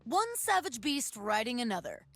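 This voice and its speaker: A woman's voice: on the high side, very animated, normal speed, creaky, fairly bright tone, no room sound, soft background music, heavily acted. A woman playing a cocky, teasing young scout in a video game, wry and taunting. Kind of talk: video game character voice lines.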